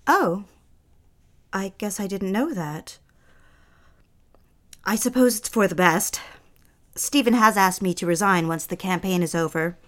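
A woman's voice: medium pitch, a little slow, pauses often, a bit bright, close to an everyday voice. An actress playing an anxious young female secretary, apologetic and unsettled. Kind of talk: audio drama acting.

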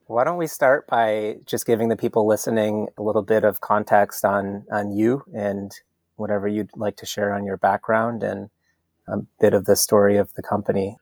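Low, gravelly-voiced podcast host. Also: male voice, little pitch movement, normal speed, dry recording. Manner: earnest, warm praise of his guest. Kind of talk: podcast hosting and scripted intro.

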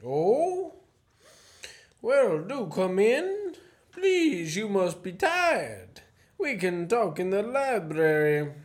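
A man acting in a student radio play as a courteous, menacing gentleman professor who is secretly a spy chief. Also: high voice, sing-song, drawn-out words, full character voice. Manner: courteous, genteel, theatrically menacing.

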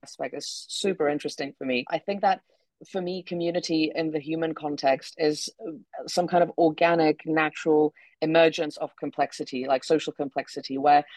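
Young Czech-born woman, British English accent, fluent chatty podcast guest, flat even delivery, dry recording. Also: sits low, no echo, rough recording. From Czech Republic. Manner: quick, chatty, with many "kind of" and "like" fillers and frequent laughs. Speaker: guest, researcher. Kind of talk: casual interview chat. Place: remote call from home.

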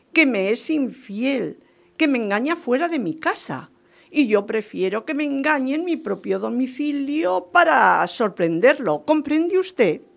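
Posh scheming wife in a radio play, highish voice with creak, theatrical and emphatic. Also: female voice, heavily acted. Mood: indignant, flustered. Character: indignant, genteel married lady scheming for divorce. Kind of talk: radio theatre storytelling and dialogue.

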